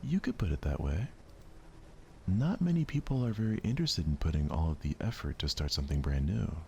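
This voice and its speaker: A man's voice: low voice, very animated, brisk, vocal fry, dark tone, full character voice. Man as friendly schoolgirl leader, assured, encouraging, visual novel dialogue, faint background noise. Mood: friendly, confident. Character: confident, friendly teenage girl club president.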